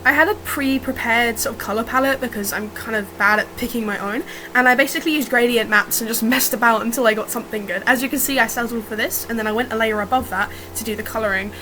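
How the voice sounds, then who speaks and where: A woman with a fairly high voice, a little rough from being sick, chats informally through her drawing process. She is unscripted and self-deprecating, recorded without a proper mic, with a faint fan whirring behind her. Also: fast talker, sharp tone, slight background noise, poor audio. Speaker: digital artist narrating own speedpaint. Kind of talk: casual unscripted art commentary. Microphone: built-in or improvised, no dedicated mic.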